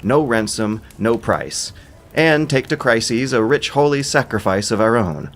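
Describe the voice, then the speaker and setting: A man's voice: medium pitch, expressive, a little slow, pitched above the performer's own voice, lightly acted. Man acting a wary, solemn ancient seer. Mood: solemn, bolder. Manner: anxious, pleading, solemn declamation. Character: wary, wise seer fearing a powerful king. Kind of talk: audio drama epic acting.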